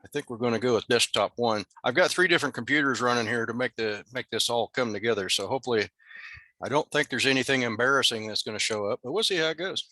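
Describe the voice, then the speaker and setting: Older man, American accent, mid-pitched, bright forward tone, easygoing, informal club presentation. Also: fast talker, no echo. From Nebraska, USA. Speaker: guest presenter at an amateur radio club. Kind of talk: informal presentation over video call.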